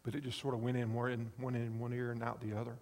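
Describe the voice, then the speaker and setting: A pastor in his fifties preaches with a gravelly, creaky voice, recounting a story earnestly and checking that his listeners follow. The sound rings out with the long reverb of a big hall. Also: mid-range voice, completely flat delivery, quick pace, a little mellow. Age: 50s. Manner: earnest storytelling, asks the congregation along. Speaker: pastor and chaplain. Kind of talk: sermon.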